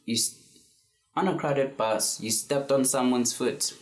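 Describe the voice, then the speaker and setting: A man's voice: medium pitch, measured pace. A man in his twenties from South Africa giving a casual beginner language lesson, easygoing, with frequent ums and fillers. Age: 20s. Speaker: language tutor. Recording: roomy echo.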